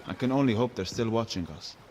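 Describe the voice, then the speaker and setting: A man's voice: lowish voice, quick pace, background noise, lightly acted. A man playing a watchful, blunt guard and guide in an audio adventure game, terse and commanding. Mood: watchful, tense. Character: seasoned male guard and guide, watchful, blunt, gruff protector.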